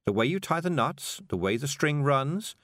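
Man voicing a cheerful witch mentor, pitched up. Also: expressive, moderate pace, a bit bright, dry recording, clean studio sound. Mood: explaining. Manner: cheerful, patiently explaining. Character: cheerful, patient older witch woman, gentle mentor. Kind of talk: audiobook character voice.

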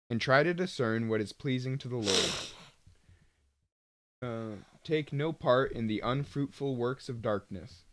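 A man co-hosting a faith podcast, calmly chatting and reading passages aloud. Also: medium pitch. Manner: calm, reads passages aloud steadily. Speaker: podcast co-host. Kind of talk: casual chat and scripture reading.

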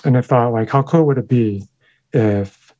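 A man whose first language is Chinese, speaking as a guest in an interview. He talks in a thoughtful, halting way with many fillers, in a mellow, darker tone. The recording sounds dull and lo-fi, with no room echo. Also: mid-range voice. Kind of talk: interview conversation.

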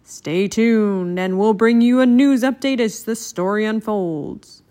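A woman's voice: mid-range voice, takes it slowly, warm tone, close to an everyday voice. Woman as a dramatic, emphatic storybook news reporter. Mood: dramatic, excited. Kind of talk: broadcast news parody in a storybook.

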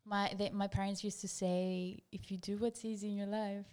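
A woman from Greece, a guest speaking in a warm, reflective, casual way. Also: mid-range voice, little pitch movement, normal speed, clear, resonant tone. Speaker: guest, hat designer. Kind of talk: casual interview chat.

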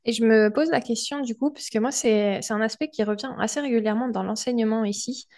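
Woman teacher, higher voice with lots of vocal fry, lots of euh while she asks stuff. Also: fairly quick, a little mellow. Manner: hesitant, many fillers, asks long questions. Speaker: podcast co-host, language teacher. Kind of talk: casual conversation.

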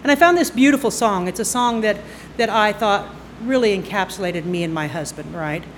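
A woman's voice: mid-range voice, lively intonation, slight background noise. Woman in her sixties telling a story on stage. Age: 60s. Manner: conversational, halting with "uh" fillers, breaks into laughs. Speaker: storyteller at a live storytelling night. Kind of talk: unscripted personal story told on stage. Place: stage at a live event. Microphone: stage microphone.

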